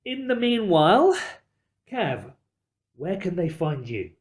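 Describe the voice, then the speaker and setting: A man's voice: high-pitched, big pitch swings, slow. A man hosting a podcast in a discursive, emphatic way, qualifying his points as he builds an argument about films. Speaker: podcast host. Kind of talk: film discussion podcast chat.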